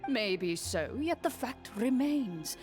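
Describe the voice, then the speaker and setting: A woman's voice: a little high, big pitch swings, slow pace, loud music behind the voice, pitched above the performer's own voice. A woman voicing a smug, scheming male prime minister with a pompous, condescending manner.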